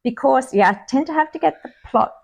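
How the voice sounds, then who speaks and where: A woman author talking casually in an interview, chatty with quick restarts and easy laughter. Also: high-pitched, creaky, highs cut off. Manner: reads her own prose aloud with lively expression. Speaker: author and podcast guest. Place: home near trees with birds outside.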